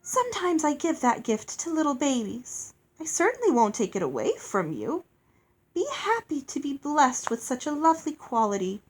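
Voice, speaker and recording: A woman's voice: high-pitched, huge pitch swings, normal speed, pitched above the performer's own voice. A woman voicing a sweet, self-satisfied fairy from a storybook, doting and grandly gracious. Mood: blithely gracious. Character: sweet, beautiful, airy female fairy, blithely self-satisfied.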